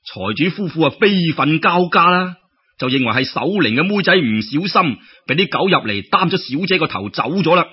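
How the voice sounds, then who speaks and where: A man's voice: a little high, big pitch swings, moderate pace, slightly rough, dull audio. A man with a Cantonese accent telling a folk tale in a theatrical, colloquial and exclamatory storytelling style. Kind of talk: dramatic traditional folk-tale narration.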